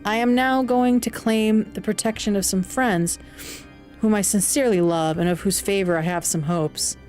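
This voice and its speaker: A woman's voice: mid-range voice, normal speed, darkish tone, faint music behind, lightly acted. A woman voicing a lonely male outcast monster in an audiobook, eloquent, formal, earnest and anxious. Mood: anxious, forlorn. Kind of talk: audiobook character dialogue.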